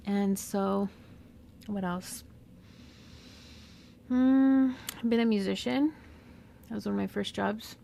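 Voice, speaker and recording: A woman with a Southern California accent whose first language is Spanish, talking unscripted and reflectively in a slow, pausing monologue as a podcast host. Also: medium pitch, vocal fry, dark tone, slight background noise. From Mexican-American. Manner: slow, reflective, with pauses and fillers.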